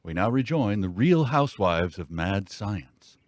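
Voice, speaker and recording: Deadpan man riffing over loud film music. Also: on the low side, unhurried. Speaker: movie riffer.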